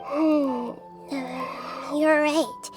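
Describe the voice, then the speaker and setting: A woman voicing a small, calm, wise childlike goddess in a video game, speaking softly in a measured, thoughtful way. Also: high voice. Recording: game music behind the voice.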